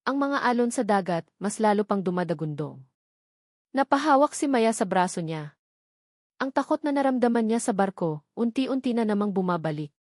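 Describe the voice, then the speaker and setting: A woman's voice: medium pitch, animated, normal speed, a little mellow, no echo, clean studio sound. A woman giving scripted, dramatic narration of a romantic audiobook story.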